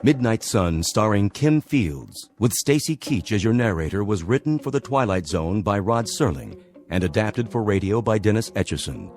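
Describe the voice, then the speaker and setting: A man's voice: on the low side, lively intonation, moderate pace, darkish tone, soft background music. A man with an American accent announcing scripted credits for a radio drama.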